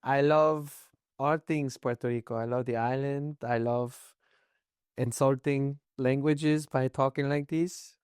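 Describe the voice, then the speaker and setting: A man's voice: medium pitch, steady tone, takes it slowly, a little mellow, clear, resonant tone, dry recording, clean studio sound, voice pushed up, lightly acted. A man putting on an exaggerated accent to mimic his guest, in a hammy, mocking way.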